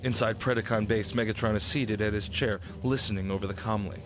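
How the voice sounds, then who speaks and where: A man's voice: on the low side, fairly even intonation, brisk. A man reading scripted narration for a radio drama. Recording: loud music under the voice. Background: loud music.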